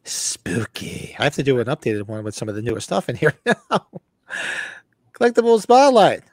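A man's voice: medium pitch, big pitch swings, moderate pace, a little mellow. A man co-hosting a casual podcast about horror collectibles, his voice scratchy and fading as he rambles. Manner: rambling, upbeat, clears his throat. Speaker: podcast co-host.

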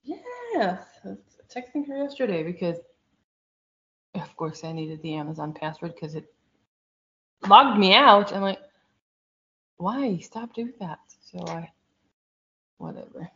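A woman co-hosting a casual chat, talking in a rambling, lively way about her week as a church school teacher. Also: medium pitch, measured pace, pauses often. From outside the United States. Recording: dull lo-fi sound.

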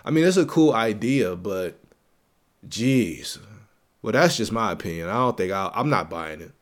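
A man's voice: fairly low pitch, expressive, normal speed. A young man with a stuffy, congested voice hosts his own gaming podcast in a loose, slangy way. He keeps the hype going by repeating phrases for emphasis. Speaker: podcast host. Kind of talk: casual solo gaming podcast.

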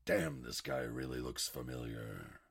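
An actor playing a gruff, hot-tempered, beer-loving retired team member in an audio drama, blunt and grumbling. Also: male voice, a bass voice, wildly swooping pitch, a little slow, exaggerated voice. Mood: grumbling, wry.

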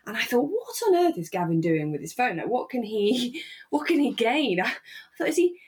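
A woman co-hosting a relaxed discussion podcast, chatty and given to acting out quotes as she talks. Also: fairly high pitch, moderate pace. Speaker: podcast co-host. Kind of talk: relaxed discussion of a radio drama.

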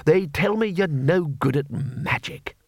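A blustering old arch-chancellor of wizards, acted out by a male reader, pitched well up and swinging widely in tone. He is bossy and hearty, firing off pompous questions in a fantasy storybook, recorded dry. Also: animated, a little slow, vocal fry, breathy edge, dry recording, exaggerated voice. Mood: probing. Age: older.

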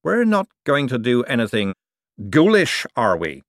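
A skeptical young woman, voiced by a male audiobook reader in a raised, lightened pitch. She asks probing, pointed questions in a lively, dry-sounding delivery. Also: unhurried, no room sound. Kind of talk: audiobook character voice.

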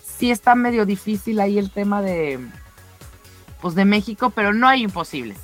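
A woman's voice: mid-range voice, animated, moderate pace. A Mexican woman co-hosting a radio show, giving a conversational sports report and chatting directly to her colleagues. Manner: chatty, addresses co-hosts directly. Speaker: radio show co-host presenting sports news. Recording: music under the voice. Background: music.

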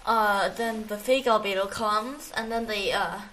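A woman in a casual chat, retelling things in a halting, rambling way with repeated false starts. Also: mid-range voice, crowd noise. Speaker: co-host recapping a video game story. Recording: clearly noisy recording with background ambience.

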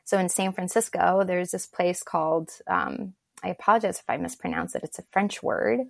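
A woman from Turkey talks as an interview guest, reflective and encouraging. Her voice sits a little low and carries a gravelly fry, and the sound is close and dry. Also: normal speed, no echo. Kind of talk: casual interview talk.

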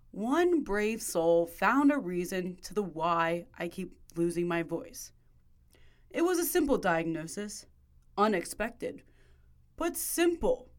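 A young woman from Ohio hosting a podcast interview in a chatty, animated way. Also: medium pitch. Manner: chatty and animated, with a punchy scripted opening. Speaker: podcast host.

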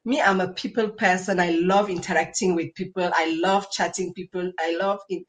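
A woman's voice: lowish voice, steady tone, normal speed. Enthusiastic woman. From Cameroon. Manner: enthusiastic, emphatic repetition. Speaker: podcast guest, entrepreneur. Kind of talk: conversational personal storytelling.

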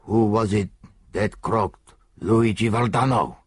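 A man voicing a big, menacing male gunman who speaks hoarsely, slowly and with a leer. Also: lowish voice, dry recording, clean studio sound, pitched above the performer's own voice. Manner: slow, leering and threatening.

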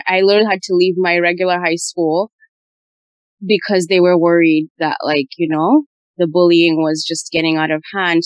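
A woman's voice: fairly low pitch, no echo. A young woman in her twenties from Uganda, hosting a casual conversation and telling her story with animation. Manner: animated storyteller, mimics voices.

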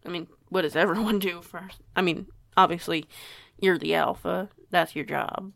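A woman's voice: fairly low pitch, steady tone, normal speed, gravelly, no echo, studio quality. A woman playing a flustered, curious young newcomer in an audio roleplay, with a hesitant, rambling way of talking. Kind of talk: audio roleplay acting, casual dialogue.

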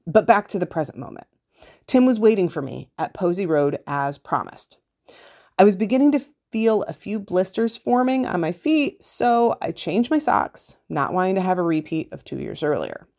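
Low-pitched American woman reading aloud. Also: big pitch swings, moderate pace, vocal fry, darkish tone. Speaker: podcast host. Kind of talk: reading a written race report aloud.

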